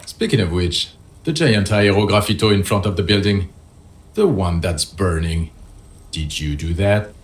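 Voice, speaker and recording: A man's voice: low voice, normal speed, close to an everyday voice. A man playing a bitter, exhausted police partner in a video game, blunt and heavily sarcastic. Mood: accusing. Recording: music behind the voice.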